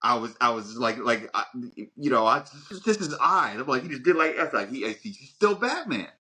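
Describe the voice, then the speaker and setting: A man's voice: mid-range voice, big pitch swings, brisk, bright, forceful tone, husky. A man co-hosting a podcast in casual chat, emphatic and repeating his words in bursts. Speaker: podcast co-host.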